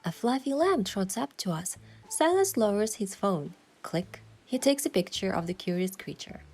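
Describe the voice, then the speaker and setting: A woman playing an earnest, playfully cheeky young hunter heroine, mixing reflective first-person story narration with expressive video game dialogue. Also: mid-range voice, moderate pace, faint music behind. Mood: reflective, descriptive.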